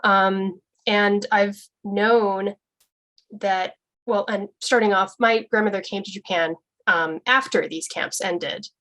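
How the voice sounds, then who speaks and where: A woman's voice: medium pitch, steady tone, takes it slowly, fairly bright tone. A young woman giving an informal, earnest presentation at a church forum, with frequent ums and restarts.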